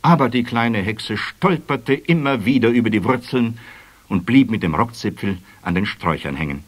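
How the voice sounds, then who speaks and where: A male narrator tells a children's tale from a script. His voice sits fairly low and moves with lively, expressive swings in pitch. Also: normal speed, breathy edge.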